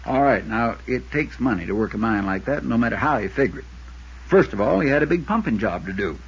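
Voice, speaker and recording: A man playing a folksy, talkative Arizona insurance man with a drawl and a husky voice, in 1950s radio drama style. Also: mid-range voice, animated, a little fast. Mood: insistent, explanatory. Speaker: supporting actor. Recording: dull 1950s radio recording.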